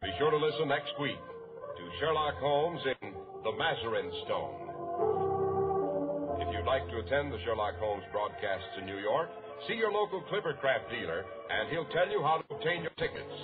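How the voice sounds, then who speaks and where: A man's voice: medium pitch, expressive, moderate pace. A man with an American accent announcing from a script in 1940s radio style. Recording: tinny old radio recording, music behind.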